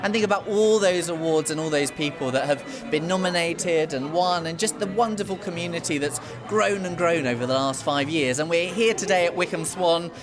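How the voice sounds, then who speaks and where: A man's voice: a little high, moderate pace, hardly any pauses, music in the background. A man, an awards organiser, speaking effusively and with a lot of enthusiasm as an interview guest. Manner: effusive, gushing, lively.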